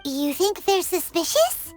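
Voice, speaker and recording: A woman voicing a small, curious, excitable childlike fairy companion in a video game, with a squeaky voice. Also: a little slow, exaggerated voice. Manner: inquisitive, eager. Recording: game music loud behind voice.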